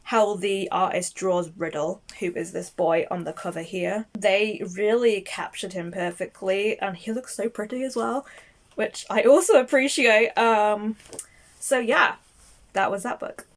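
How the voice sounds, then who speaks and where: A young woman, her voice a little croaky from a cold, chatting casually and rambling through a book wrap-up. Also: mid-range voice, a little slow. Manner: chatty, rambling, lots of "um" and "I think". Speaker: book vlogger.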